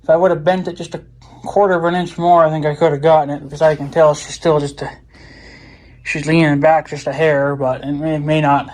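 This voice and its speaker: A man's voice: a little high, level tone, brisk, a little mellow. A man chatting casually off the cuff, rambling and thinking aloud as he vlogs about a hands-on job, with some mild swearing. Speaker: vlogger. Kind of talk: casual off-the-cuff DIY vlog chat.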